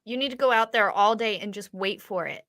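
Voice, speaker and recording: A woman's voice: medium pitch, fairly quick, fairly bright tone. A woman on a livestream panel, chatting casually with a wry, playful manner. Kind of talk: casual chat.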